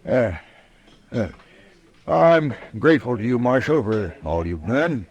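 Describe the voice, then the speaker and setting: An actor playing an evasive, self-important crooked judge in a radio western, hesitant and blustering. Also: male voice, mid-range voice, very animated, measured pace, a little mellow. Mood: nervous, insistent. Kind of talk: old-time radio western acting.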